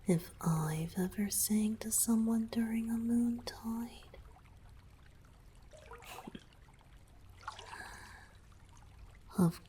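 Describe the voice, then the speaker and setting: A woman voicing a playful, romantic young merman boyfriend in a breathy, teasing ASMR whisper with soft hums. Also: mid-range voice, a little hiss or hum. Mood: coy, gentle.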